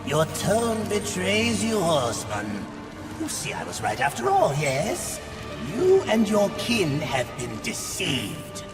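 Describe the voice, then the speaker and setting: A man's voice: high voice, wildly swooping pitch, slow, in a large hall, exaggerated voice. An actor playing a sly, theatrical demon merchant in a video game, talking like a grandiose, wheedling salesman. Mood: smug flattery. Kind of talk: video game cutscene acting. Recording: background music under the voice.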